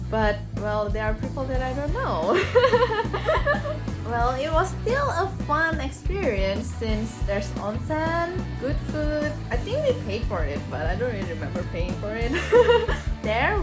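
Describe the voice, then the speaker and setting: Giggly young woman over music. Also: a little high. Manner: giggly, laughs often between sentences. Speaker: former voice acting student vlogging. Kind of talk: casual chat. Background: background music.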